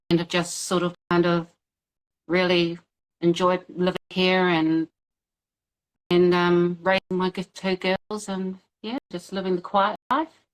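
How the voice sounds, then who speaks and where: A woman's voice: fairly low pitch, rough recording. A woman in her thirties from New Zealand with a New Zealand accent, a guest on a video call interview, talking casually with lots of fillers and easy laughs. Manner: hesitant, many fillers, laughs easily.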